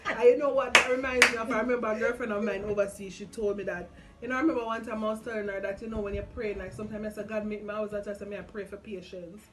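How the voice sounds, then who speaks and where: A woman's voice: mid-range voice, fairly even intonation, quick pace, background noise. A woman with a Caribbean accent in casual chat, explaining things earnestly to viewers and friends. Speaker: vlog co-host.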